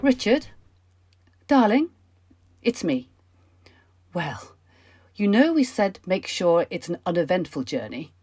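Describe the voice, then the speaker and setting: A woman's voice: fairly low pitch, big pitch swings, takes it slowly, pauses often, darkish tone, husky, heavily acted. A woman acting a sly, chatty passenger with an innocent front in an amateur radio play. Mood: smug, conspiratorial. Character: sly female passenger, outwardly innocent secret schemer.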